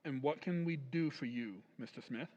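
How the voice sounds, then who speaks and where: An actor playing a smooth-talking maker of lifelike marionettes in an old-time radio drama recreation, with assured salesman's patter. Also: mid-range voice, moderate pace, darkish tone, highs cut off, lightly acted. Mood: suave. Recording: long reverb on stage.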